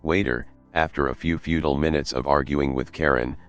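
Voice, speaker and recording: A man narrating a Reddit story in a steady, even read. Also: rumbling low, brisk, highs cut off. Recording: faint background music.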